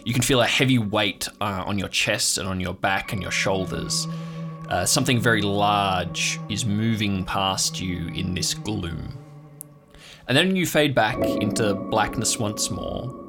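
A man hosting and narrating an improvised audio drama, finding his words as he goes in a halting delivery. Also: lowish voice, creaky, music in the background. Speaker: host, show creator and narrator.

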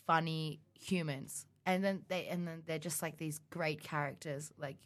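A woman's voice: low voice, unhurried. A young woman, a student co-hosting a podcast, talking casually in a giggly, rambling way. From Australia. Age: young adult. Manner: giggly, rambling, animated storytelling. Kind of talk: casual chat.

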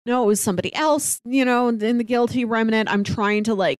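A woman's voice: on the high side, fairly quick, no room sound, studio quality. A woman co-hosting a podcast, casually talking over a TV drama in a rambling storytelling way. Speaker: podcast co-host. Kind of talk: casual chat about a TV drama.

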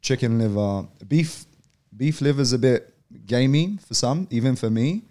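A young man from Poland, a podcast guest, talking in a relaxed way and often pausing with "um" and "you know". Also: medium pitch, background noise. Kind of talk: casual podcast conversation.

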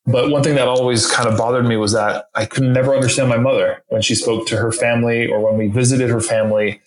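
A man whose first language is French, talking as an interview guest in a halting way with many fillers and restarts. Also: medium pitch, steady tone. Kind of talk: casual interview conversation. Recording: roomy echo.

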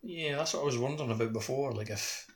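A man's voice: medium pitch, fast. A man chatting informally as a co-host on a casual gaming podcast. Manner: informal, conversational.

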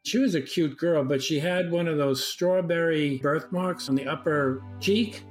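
An older man with a somewhat high voice recalls his own past in a casual, conversational way. Music plays faintly behind him. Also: measured pace. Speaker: discussion participant. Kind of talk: casual personal reminiscence.

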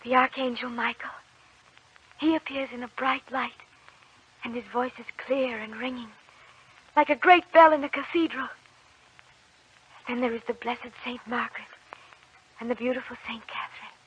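A woman's voice: fairly high pitch, fairly even intonation, normal speed, long pauses, fairly bright tone, husky. An actress playing Joan of Arc as an earnest, devout peasant girl in an old radio drama. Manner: earnest, reverent. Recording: dull old radio recording.

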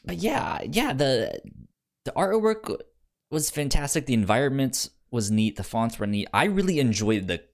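A man co-hosting a podcast, chatting casually about books in a halting way. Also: medium pitch, big pitch swings. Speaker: podcast co-host discussing comics. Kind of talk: casual chat, book review.

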